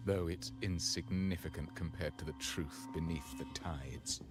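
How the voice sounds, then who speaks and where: Deep, grandiose villainous cult fanatic. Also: male voice, fairly even intonation, a little slow, loud music behind the voice. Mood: smug, menacing. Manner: grandiose, menacing, declamatory. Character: fanatical villainous cultist, smug, theatrical, devout to dark god. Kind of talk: video game voice acting.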